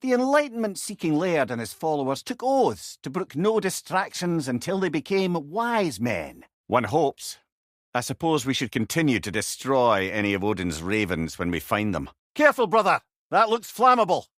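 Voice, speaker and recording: A man's voice: mid-range voice, no echo, studio quality, natural voice. A man playing a wise, wry old advisor in a video game, a chatty and learned storyteller with a Scottish accent. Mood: wry, musing, then urgent. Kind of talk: video game character dialogue.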